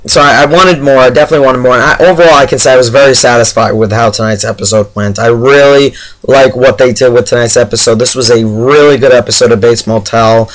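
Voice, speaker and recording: A man with a hoarse, tired voice giving a casual, chatty, somewhat halting TV episode review straight to his viewers. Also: mid-range voice, fairly even intonation, poor audio. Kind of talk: casual spoken review to camera.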